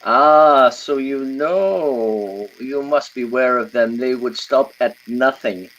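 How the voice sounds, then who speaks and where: Male radio drama crook: over-polite, furtive, formal and hesitant, mid-pitched, on dull lo-fi sound. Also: slow, fairly bright tone. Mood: nervous, insistent. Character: fussy, polite, furtive Greek crook with a pistol. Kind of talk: old-time radio drama acting.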